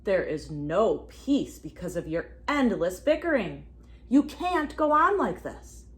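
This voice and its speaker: A woman's voice: medium pitch, very animated, takes it slowly. Lady doing a big fed-up toad from a kids book, scolding, stretches words, voice jumps all over. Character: large toad, male, stern peacemaker fed up with bickering. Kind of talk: children's storybook read-aloud.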